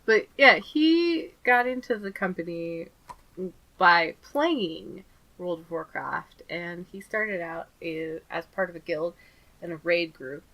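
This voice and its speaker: A woman's voice: lowish voice, lively intonation, slow, fairly bright tone, poor audio. A woman co-hosting a podcast, chatting casually, laughing often and acting out little quotes as she recaps. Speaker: podcast co-host.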